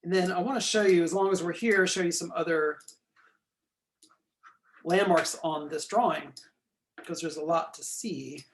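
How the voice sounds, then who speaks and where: A man giving a recorded lecture while pointing out features on a map. He is losing his voice, keeps clearing his throat and apologizes for it. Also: a little high, normal speed, long pauses, slight background noise.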